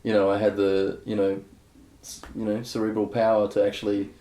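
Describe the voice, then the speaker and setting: Aussie guy, lowish voice, rambly and candid, lots of um and you know, echoey room. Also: completely flat delivery, fairly quick, mellow. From Australia. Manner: rambling, candid, many fillers and restarts. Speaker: guest bass player. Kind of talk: casual interview chat.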